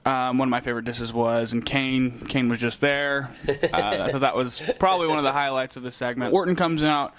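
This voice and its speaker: A man's voice: mid-range voice, steady tone, fairly quick, a bit bright, slight background noise. A man co-hosting a wrestling recap show, easygoing and casual, handing out thumbs-up ratings. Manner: easygoing, rates each segment with thumbs. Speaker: wrestling podcast co-host.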